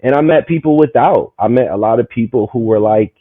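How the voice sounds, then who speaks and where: A man in his thirties from Maryland, a guest telling his story in a lively, rambling way, full of "you know what I'm saying". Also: medium pitch, fairly quick, husky, no echo. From Maryland, USA. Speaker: guest, community market founder. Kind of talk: casual storytelling conversation. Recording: tinny, phone-like, dry.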